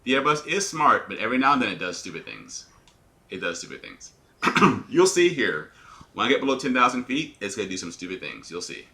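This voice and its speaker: A man's voice: fairly low pitch, big pitch swings, fairly quick, pushed and bright. A man, an airline pilot, commenting live on a flight simulator, talking in a put-on radio-announcer voice and explaining each checklist step to viewers with small stumbles and restarts. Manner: occasional small repetitions and restarts.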